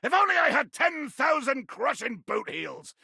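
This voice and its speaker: Ranting video game pirate captain, super gravelly and harsh, bloodthirsty, totally over the top. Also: male voice, extremely high pitch, very animated, unhurried, bright, forceful tone, no room sound, clean studio sound, exaggerated voice. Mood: gleefully menacing. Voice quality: gravelly, rasping growl. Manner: ranting, theatrical, archaic pirate speech. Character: bombastic, bloodthirsty pirate captain, grown man, theatrical and irascible. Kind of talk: video game character acting.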